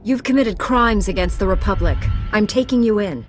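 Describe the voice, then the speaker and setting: A woman's voice: mid-range voice, moderate pace, soft background music, lightly acted. A woman playing a stern, principled Jedi. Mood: firm. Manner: firm, earnest, direct.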